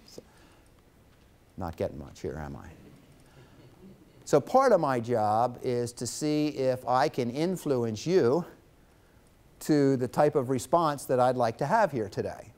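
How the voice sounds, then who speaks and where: A man in his fifties gives a guest lecture in a college classroom, his voice at an ordinary middle pitch. He talks in an animated way, gesturing and teasing the students while he tells stories. The sound comes through a lapel mic.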